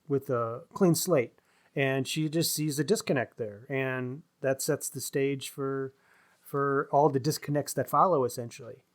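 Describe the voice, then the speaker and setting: A man co-hosting a book podcast, discussing in a casual but analytical, measured manner. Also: medium pitch. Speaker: podcast co-host discussing books.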